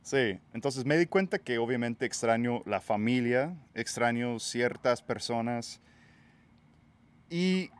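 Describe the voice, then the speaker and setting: A man from Texas hosting a casual podcast chat outdoors by a pool, English his first language, talking in a relaxed, hesitant way with many fillers and restarts. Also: mid-range voice, slow pace, clean tone. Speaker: podcast host.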